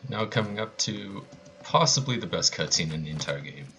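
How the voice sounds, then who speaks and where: A man's voice: low voice, lively intonation, normal speed, sharp tone, slight background noise. A man with an American accent giving casual, laid-back live commentary as he plays a speedrun.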